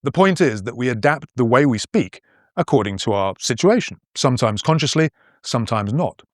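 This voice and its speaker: Man in his fifties, posh English accent with northern traces, animated up-and-down delivery, close dry recording. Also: mid-range voice, a little fast, no room sound. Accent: English, mostly posh with northern traces. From northern England. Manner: playful, explanatory, lively storytelling. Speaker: podcast host and language teacher. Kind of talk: scripted educational podcast narration.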